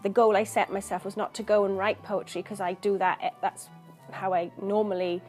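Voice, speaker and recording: A woman's voice: mid-range voice, little pitch movement, a little fast, a little mellow, faint music behind. A woman poet from Wales, talking about her work in an earnest, thoughtful interview, searching for words as she goes. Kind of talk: reflective interview talk.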